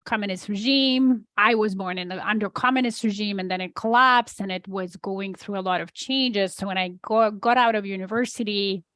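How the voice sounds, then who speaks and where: A woman's voice: mid-range voice, normal speed, hardly any pauses, no echo. Polish-accented woman, dry close voice. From Poland. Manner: warm, easy storytelling with light laughs. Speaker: guest, business coach.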